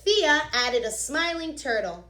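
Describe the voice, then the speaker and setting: A woman with a fairly high voice reads a children's story in a very echoey room. She sounds like a teacher, expressive and instructive, stepping out of the text to explain it directly to her young listeners. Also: a little slow.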